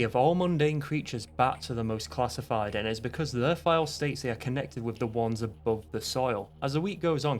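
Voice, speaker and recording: A man with a Northern English accent reads a scripted video essay in a dry, wry way, with casual, sweary asides. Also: mid-range voice. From Northern England. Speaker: video essayist reviewing a game.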